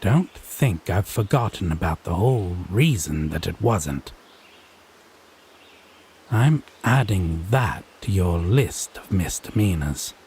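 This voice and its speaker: A low, slow-spoken male Fae played by a man, prim and domineering, with theatrical swoops of pitch. He teases and scolds in an arch, pompous drawl, as in a fantasy audio drama. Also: lively intonation, darkish tone, a little hiss or hum, exaggerated voice. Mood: commanding, teasing. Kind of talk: scripted audio roleplay drama.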